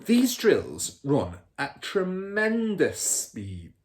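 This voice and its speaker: A man voicing a boastful, bullying car-dealer father in a storybook, loud and scornful. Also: a little high, huge pitch swings, very slow, pitched above the performer's own voice, full character voice.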